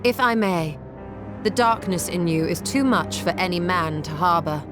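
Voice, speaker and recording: A woman playing a perceptive, composed woman in a fantasy video game, speaking in a formal, courteous, measured way. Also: fairly low pitch, music in the background, natural voice. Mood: composed, probing. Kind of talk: dramatic video game cutscene acting.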